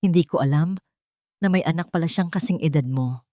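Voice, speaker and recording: A woman's voice: sits low, dark tone, no echo, clean studio sound, close to an everyday voice. Woman voicing a grave, remorseful older tycoon father. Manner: grave, slow, confessional. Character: powerful older billionaire father, remorseful yet fiercely protective.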